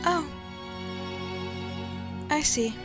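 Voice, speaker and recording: An actress playing a calm, knowing wise woman who gave out a spell, in an old-time radio drama. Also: high voice. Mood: cool. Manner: slow, calm, unbothered, measured. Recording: radio play with music underneath.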